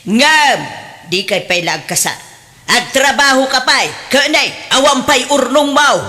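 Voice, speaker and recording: A woman's voice: high-pitched, lively intonation, measured pace, exaggerated voice. A woman playing a domineering, nagging middle-aged mother of a grown son in an audio drama, with an Ilocano accent. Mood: indignant, scolding. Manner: nagging, sharp-tongued, indignant. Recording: long reverb, faint background noise.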